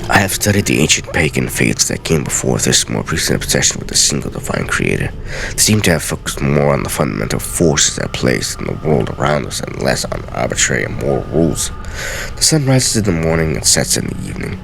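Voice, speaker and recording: Brooding scholar of game journals, acted by a man: grave and theatrical, rock-bottom pitch, swooping intonation. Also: sing-song, vocal fry, noisy background, exaggerated voice. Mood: solemn, contemplative. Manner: grave, measured, theatrical delivery. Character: solemn, brooding scholar writing secret journal pages. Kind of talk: dramatic reading of game lore.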